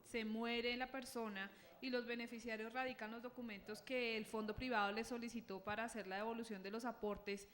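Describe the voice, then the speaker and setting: A woman attending a seminar, quickly asking a question that others passed on to her. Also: a little high, flat delivery, a bit bright, long reverb tail.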